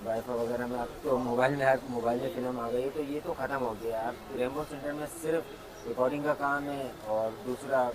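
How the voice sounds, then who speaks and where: Male shopkeeper, Pakistani Karachi accent, middle-pitched, informal explanatory interview answers, recorded amid a noticeable crowd. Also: fairly even intonation, a little fast, low-quality recording. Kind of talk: casual on-site interview answers. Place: inside a small video dubbing shop. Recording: busy shop with people chattering.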